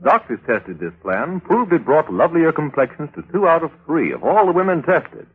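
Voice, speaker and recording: A man's voice: fairly high pitch, sing-song, moderate pace, slightly rough. An American man reading as a commercial announcer in the style of 1940s radio advertising, on a muffled, tinny old broadcast.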